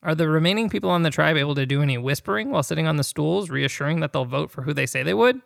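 A man's voice: a little high, animated, rapid-fire, creaky, fairly bright tone, no room sound, clean studio sound. An American man co-hosting a podcast, talking and reading listener questions aloud.

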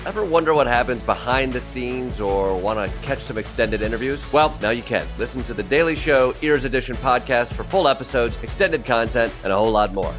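A man reads a scripted podcast promo at a brisk clip, his voice of middling pitch and upbeat. Faint music plays underneath the read. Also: a bit bright. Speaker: podcast ad announcer.

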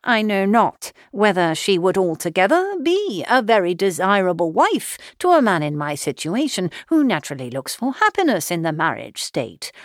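A woman voicing a pompous, long-winded, self-regarding gentleman suitor in a classic novel. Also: medium pitch, very animated, moderate pace, few pauses, dry recording, studio quality, close to an everyday voice. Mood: self-important. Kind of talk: audiobook character voice, classic novel.

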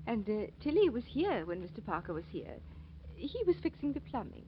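An actress playing a genteel, upright aunt in 1940s radio style, with a polite, proper manner. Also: female voice, fairly high pitch, sing-song, a little fast. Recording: muffled, noisy old radio recording.

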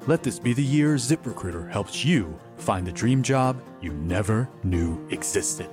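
A man reads a scripted ad as an announcer. His voice sits a little low, and music plays clearly behind him. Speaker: advert announcer. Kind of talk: scripted ad read.

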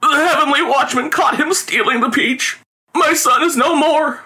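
A man plays a wily old street magician from a storybook, a melodramatic father who complains and pleads. The voice is pitched high, swooping and bright, well above an everyday register. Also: expressive, brisk. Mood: anguished wailing. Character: theatrical aging male street conjurer, wily, lamenting father. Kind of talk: storybook character voice.

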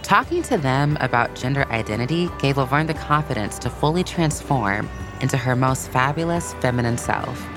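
A woman with a very deep voice narrates a scripted story for children. Music plays clearly behind her as she reads. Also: expressive, normal speed, hardly any pauses.

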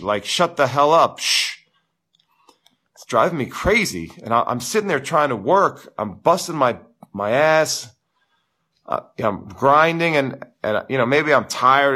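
A man hosting a live viewer Q&A as a tennis coach, with a big booming voice and an animated, ranting delivery full of repeats and "you know". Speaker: tennis coach, show host. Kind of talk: live-stream chat with viewers.

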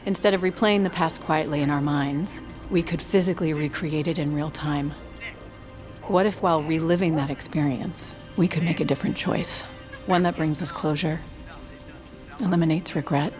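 Low-voiced actress as a woman psychologist. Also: steady tone, moderate pace, darkish tone, soft background music, close to an everyday voice. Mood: earnest, explanatory. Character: assertive, aggrieved woman psychologist. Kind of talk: modern audio drama acting.

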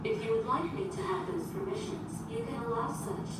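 A woman's voice: extremely high pitch, expressive, normal speed. A woman playing a polite, literal shipboard artificial intelligence in a science fiction audio drama, speaking formally and without emotion. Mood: calm, procedural. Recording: roomy echo, distant, heavy noisy ambience.

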